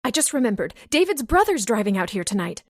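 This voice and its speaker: An actress playing an anxious, frightened widow in an old-time radio horror drama, agitated and given to exclaiming. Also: female voice, fairly high pitch, sing-song, fast talker, no room sound, clean studio sound. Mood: nervous.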